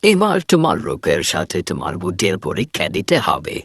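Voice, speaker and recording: Stern old sage for a cartoon , a man voicing him: husky, low, lively pitch, dry studio. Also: a little fast, no room sound, full character voice. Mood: solemn. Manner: commanding, testing. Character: stern old male sage, enchanted prince in disguise.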